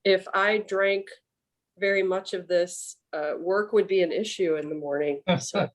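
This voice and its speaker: A woman's voice: medium pitch, steady tone, a little slow. A woman guest chats casually at a tasting and gives frank, joking comments. She sounds open and unfussy. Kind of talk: casual chat.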